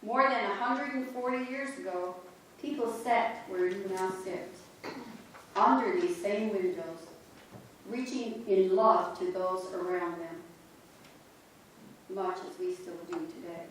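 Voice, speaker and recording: Woman reader, slow measured delivery, heard from a distance in a very echoey church sanctuary. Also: medium pitch, a little hiss or hum. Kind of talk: scripted reading to a congregation. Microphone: distant room mic. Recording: echoey sanctuary recorded from afar.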